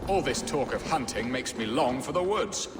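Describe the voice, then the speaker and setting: An actor playing a blustering middle-aged Saxon lord in a video game, with a grandiose, wry delivery. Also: male voice, mid-range voice, lively intonation, normal speed, background noise, natural voice. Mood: lordly. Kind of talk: dramatic video game dialogue. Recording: echoey hall reverb with music.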